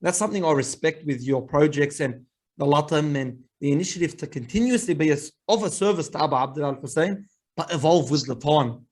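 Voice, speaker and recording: Aussie podcast host guy, kinda higher voice, earnest, praising his guest in long run-on sentences. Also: brisk, a little mellow, breathy edge. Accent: Australian. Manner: earnest, praising, speaks in longer flowing sentences. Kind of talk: casual conversational interview.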